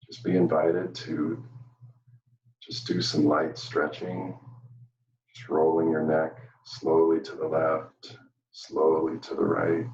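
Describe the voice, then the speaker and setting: A man guides a meditation in a very low, even-toned voice. He speaks gently and soothingly, leaving long pauses between phrases. The sound is roomy, as if the microphone sits some way off. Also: creaky. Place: indoor room, live virtual event. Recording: dull, roomy, distant microphone.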